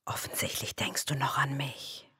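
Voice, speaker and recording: An actress in a German radio drama playing the taunting, insinuating inner voice of an anxious wife. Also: female voice, extremely low pitch, steady tone, normal speed, sharp tone, slightly rough, no echo, in a whisper, exaggerated voice. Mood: whispering, insinuating. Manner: insinuating, needling.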